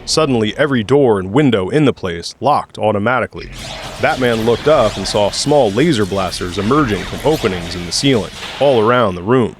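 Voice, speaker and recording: Low-voiced man narrating an audio drama. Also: lively intonation, brisk, over music. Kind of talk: scripted story narration. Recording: faint ambient noise behind.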